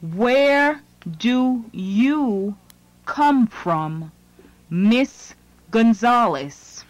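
Female lesson narrator, very slow, measured, dry studio sound. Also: fairly high pitch, expressive, no room sound, poor audio. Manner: slow, deliberate, phrase by phrase. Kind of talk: scripted lesson reading.

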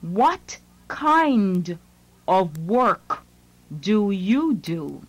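A woman reads English lines for a language course, very slowly and carefully. Each phrase is set apart for learners to follow. The recording is dry and close, with no room sound. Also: mid-range voice, big pitch swings, no room sound, low-quality recording. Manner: slow, deliberate, phrase by phrase. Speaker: language lesson narrator. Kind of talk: scripted lesson reading.

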